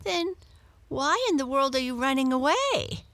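A woman voicing a chatty, curious female house cat in a storybook, pitching her voice high and asking eager questions. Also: sing-song, a little slow, fairly bright tone, no room sound, heavily acted.

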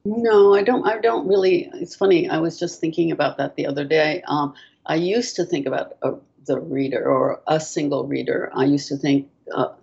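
An older woman whose first language is French, answering interview questions softly and hesitantly over a video call. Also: deep voice, fairly even intonation, normal speed, gravelly, breathy edge, muffled recording. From France. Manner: soft-spoken, hesitant, many ums. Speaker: author, guest.

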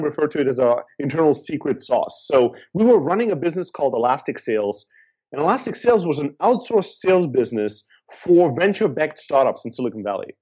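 A man from Greece, raised in Germany, answering interview questions in an energetic, talkative way. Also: fairly high pitch, husky. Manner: energetic, talkative, with fillers and repeats. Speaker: guest entrepreneur.

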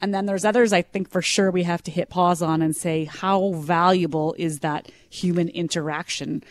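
A woman's voice: on the low side, fairly even intonation, moderate pace, no room sound. A woman co-hosting a morning radio show, talking animatedly and acting out quoted lines in her own voice. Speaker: radio co-host. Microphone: studio mic, separate room.

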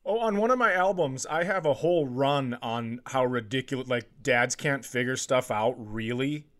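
A man's voice: mid-range voice, normal speed, a bit bright. A man hosting a comedy podcast in casual chat, ranting with exasperated sarcasm and swearing.

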